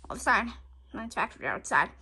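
A female reader voicing a sly, thrifty male triceratops escape-artist crook, haltingly. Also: on the high side, animated, sharp tone, slightly rough, pitched below the performer's own voice, exaggerated voice. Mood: boastful.

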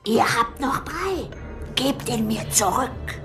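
A woman voicing a bossy old fairy-tale witch with a drawn-out, commanding delivery. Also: a little high, lively intonation, vocal fry, a bit bright, soft background music, voice pushed up, full character voice. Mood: demanding.

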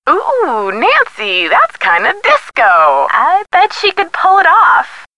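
A woman's voice: extremely high pitch, wildly swooping pitch, takes it slowly, sharp tone, no echo, heavily acted, rough recording. An actress playing a detective's chatty, witty young female friend in a video game, with a playful, teasing manner. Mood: animated. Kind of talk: scripted video game dialogue.

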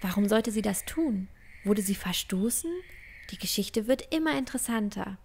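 A woman playing a clever, bossy, curious young village girl in a fantasy audio drama, expressive and a little schoolteacherly. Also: mid-range voice, moderate pace, lightly acted.

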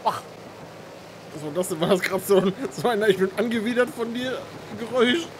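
A woman's voice: medium pitch, brisk, slight background noise, low-quality recording. A woman gamer chatting casually over a game in exasperated, sweary banter. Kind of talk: casual gaming chat.

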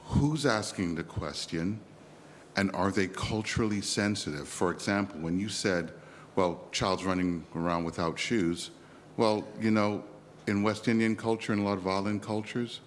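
A man's voice: low-pitched, a little hiss or hum. A man from the West Indies asking an audience question at a lecture, speaking emphatically. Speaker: audience member. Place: lecture theatre.

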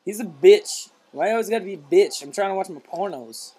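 A man's voice: high voice, lively intonation, brisk, warm tone, husky. A young man joking crudely and casually with friends, recorded on a laptop microphone on a couch. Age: young adult. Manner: crude, profane banter. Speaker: home video maker among friends. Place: small lamp-lit basement living room, on a couch. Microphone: laptop's built-in microphone at arm's length.

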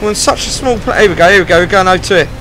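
A British man doing casual, excitable let's play commentary, often repeating himself, with a video game behind him. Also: sits high, very fast, a little hiss or hum, poor audio. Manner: excitable, repetitive exclamations. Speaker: gaming commentator. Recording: loud game music and machinery behind. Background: video game music and action sounds.

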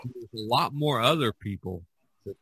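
A man hosting a casual podcast chat, his voice bright and forceful as he tells things with animated emphasis and frequent restarts. The sound is dry and close, with no room around it. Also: mid-range voice, brisk, creaky, breathy edge, dry recording. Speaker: podcast host. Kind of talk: casual discussion.

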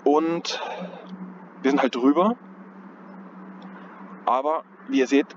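A man at an ordinary middle pitch walks through market charts in an unhurried, thinking-aloud way, stopping to correct his own wording. The audio is dull and lo-fi. Clear outdoor noise and passing traffic sit behind him. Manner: thinking aloud, hesitant, self-correcting, chuckles at himself. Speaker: trading analyst. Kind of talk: casual chart analysis commentary. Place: outdoors on a cold day.

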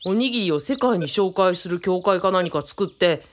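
A woman playing a courteous, curious assistant in a radio drama, speaking politely with a gently teasing manner. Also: on the low side, animated, moderate pace, background noise, natural voice. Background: birdsong.

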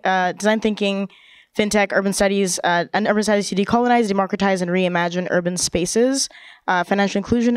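A woman from East Africa co-presenting informally at a conference, with a hesitant, halting delivery. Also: fairly low pitch, clear, resonant tone, no echo, clean studio sound. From East Africa, Uganda and Kenya. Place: conference session.

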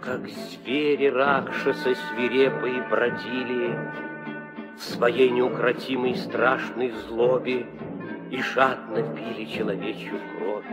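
An actor as the storyteller of an epic, reciting verse in a solemn, measured, declamatory way. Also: male voice, medium pitch, fairly even intonation, loud music behind the voice, rough recording. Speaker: narrator. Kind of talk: solemn verse narration, old radio drama.

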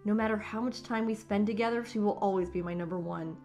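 A woman's voice: medium pitch, fast talker, warm tone, faint music behind, lightly acted. A woman voicing an eager, loyal teenage best friend in a fan comic dub. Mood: apologetic.

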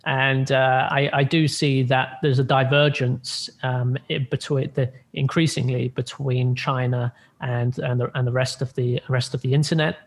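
A man's voice: mid-range voice, level tone, normal speed, few pauses. A man in his thirties, a guest tech analyst on a video call, explaining points with many fillers and restarts. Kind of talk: conversational interview answers. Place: home room with plain light blue wall. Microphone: video call with earbuds.

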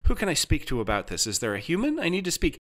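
An ordinary man, perplexed and helplessly exasperated, as a male narrator plays him. He protests hesitantly in a very animated voice, in the style of an audiobook story. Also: fairly high pitch, fast, pitched above the performer's own voice. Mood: distressed.

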